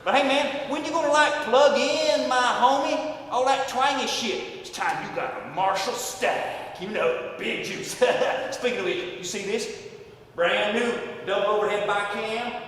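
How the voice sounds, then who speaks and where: A man voicing a brash, boastful redneck businessman, loud and crude with cackling laughs. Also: high-pitched. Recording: echoey distant sound with long reverb.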